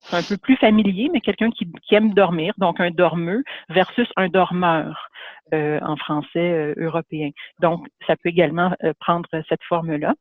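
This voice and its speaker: A man with a Canadian French accent lectures to an online audience in a fairly high voice, often hesitating and restarting his sentences. The sound is thin and phone-like, with no room echo at all. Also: fairly even intonation, moderate pace. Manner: hesitant, many fillers and restarts. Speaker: webinar presenter. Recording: phone-like dry webinar audio.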